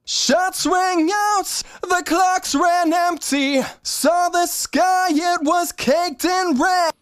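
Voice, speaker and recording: A man's voice: very high-pitched, extremely slow, fairly bright tone, dry recording, clean studio sound. A man singing a rock lead vocal, enunciating sharply with pronounced sibilant S sounds. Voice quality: strong sibilance, crisply enunciated. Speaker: rock singer.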